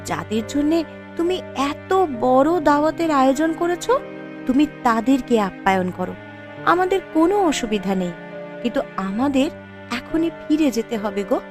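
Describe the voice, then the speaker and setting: A woman's voice: high voice, lively intonation, moderate pace, over music. A woman voicing a proud, outspoken poor young wife in a moral-tale cartoon, with emphatic, dramatic delivery. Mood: hurt, firm.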